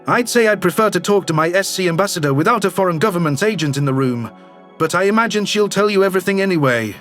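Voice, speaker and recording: A man's voice: high voice, animated, fairly bright tone, soft background music. A man voicing a shifty, self-centered alien governor in a sci-fi audio drama, speaking formally and curtly. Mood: cool, formal. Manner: formal, measured, curt. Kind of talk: science fiction audio drama acting.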